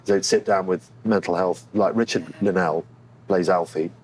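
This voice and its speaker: Man, low pitch, thoughtful, halting delivery, candid interview, noticeable background noise. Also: steady tone, darkish tone, rough recording. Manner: thoughtful and halting, searching for words. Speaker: actor being interviewed. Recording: clear background room noise.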